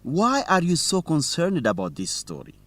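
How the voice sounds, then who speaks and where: An incredulous orphan student who questions everything in a radio drama. His voice sits fairly high and swings widely as he makes his emphatic points. The sound is close and dry. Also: wildly swooping pitch, moderate pace, a bit bright, no room sound. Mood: probing. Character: poorly educated male orphan, incredulous, questioning student reporter.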